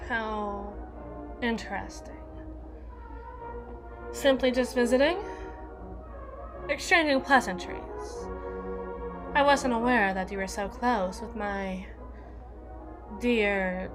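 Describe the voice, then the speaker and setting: Evil queen, played by a woman, regal, possessive and menacing; fairly high voice, unhurried theatrical delivery for an audio roleplay. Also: over music. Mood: icy politeness. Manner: haughty, theatrical, deliberate formal diction. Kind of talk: ASMR roleplay voice acting.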